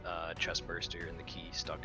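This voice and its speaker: Low-voiced man playing a tabletop game. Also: monotone, normal speed. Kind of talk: casual game-table talk. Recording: clear music behind voice. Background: music.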